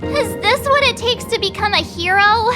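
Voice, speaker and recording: Very high, expressive teenage girl. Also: normal speed, over music. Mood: pouty, melodramatic. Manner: expressive, chatty, melodramatic. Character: bubbly, clingy teenage girl adventurer, loyal and pouty. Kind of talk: video game dialogue.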